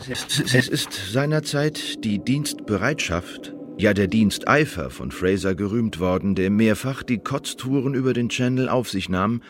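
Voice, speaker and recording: A man plays an officious secret agent in a radio play, reporting formally and with measure in a lowish voice. A faint noisy ambience lies underneath. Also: measured pace, close to an everyday voice. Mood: officious, measured. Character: officious, loyal male secret agent giving a colleague assessment. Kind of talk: German radio drama, formal report reading.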